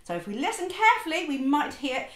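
A woman's voice: sits high, sing-song, quick pace. A middle-aged woman leads an interactive story drama class for young children in a theatrical, coaxing, exclamatory way. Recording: roomy echo, loud music behind. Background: loud keyboard music.

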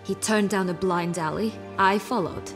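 A woman playing a haughty, cynical noblewoman with old wounds in a fantasy video game, measured and sardonic with a theatrical touch. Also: mid-range voice, over music, close to an everyday voice. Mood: wry recounting.

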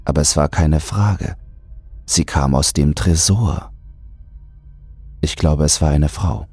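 A man's voice: extremely low pitch, slow, mellow, husky, dry recording, soft background music. A man reading a scripted horror story as an audiobook narrator.

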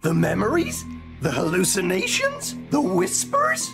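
Woman doing a creepy scheming villain guy, insinuating, pitch swooping up and down, music behind. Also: wildly swooping pitch, a little slow, gravelly, exaggerated voice. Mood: sinister, coaxing. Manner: theatrical, insinuating. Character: sinister skinny man, a scheming, fascinated cartoon villain.

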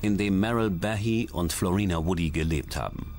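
Man, documentary narrator, lowish voice, scripted true-crime delivery, clear music bed behind. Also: normal speed, a little mellow. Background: background music.

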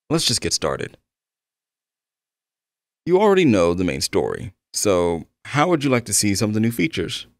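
A man acts out an eager male game developer in an intimate audio roleplay. His voice is low and swings expressively as he talks attentively, a little awkward and quick to apologize. The sound is close and dry. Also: no room sound. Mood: excited, friendly. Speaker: roleplay voice actor. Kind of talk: ASMR-style roleplay addressed to the listener.

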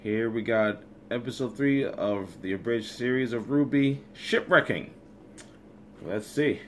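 A man's voice: medium pitch, a little slow, a bit bright, slight background noise. A man in his thirties talking casually as the host of a reaction video, recorded in a room at home. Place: small decorated room at home.

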